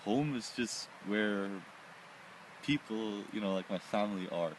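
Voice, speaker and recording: An Austrian man who grew up in several countries, answering personal questions reflectively in short phrases with pauses. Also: lowish voice, slight background noise, low-quality recording. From born in Oman, Austrian, grew up in several countries. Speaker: interviewee.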